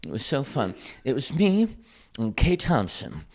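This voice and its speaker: A man's voice: medium pitch, wildly swooping pitch, unhurried, a little mellow. A man hosting a comedy podcast, chatting casually with playful, campy, jokey banter.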